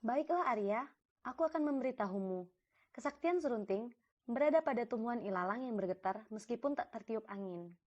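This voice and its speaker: Woman acting a high-voiced loyal princess wife. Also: animated, fairly quick, darkish tone, lo-fi sound. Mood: reluctant. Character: loyal, conflicted prince's wife and older sister. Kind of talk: acted dialogue in a cartoon folktale.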